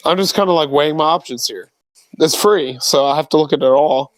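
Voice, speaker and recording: A man sits at a tabletop game and talks in a wry, offhand, joking way. His voice is a little on the high side and sounds dry and close. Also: brisk, no room sound, poor audio. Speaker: player. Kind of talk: casual tabletop game chat.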